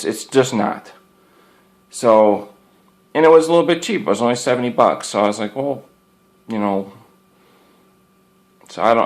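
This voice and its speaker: A man giving a casual, unscripted product review as a hobbyist, rambling in a folksy way and circling back over his points. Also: fairly low pitch. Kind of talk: casual unscripted show-and-tell.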